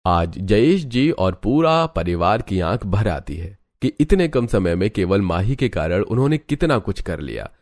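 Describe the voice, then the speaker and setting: A man's voice: on the low side, big pitch swings, unhurried, hardly any pauses, darkish tone, no echo. A man narrating a scripted cartoon story.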